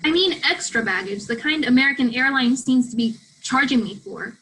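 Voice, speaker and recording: An actress playing a guarded, self-doubting international college student and soccer striker in a virtual play reading. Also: female voice, sits high, animated, moderate pace, sharp tone, heavily acted, poor audio. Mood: guarded, conflicted. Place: remote virtual reading over video call.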